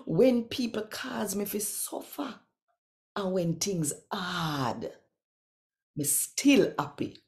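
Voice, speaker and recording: A woman's voice: medium pitch, darkish tone. A woman with a Jamaican accent hosting and reading scripture devotionally, speaking conversationally and straight to the listener. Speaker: host and scripture reader.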